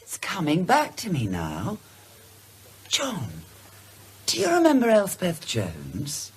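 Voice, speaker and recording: A woman's voice: on the low side, wildly swooping pitch, slow, husky, full character voice. An actress playing an inquisitive, decisive married woman in a British radio drama, speaking in a musing, emphatic way. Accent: British English.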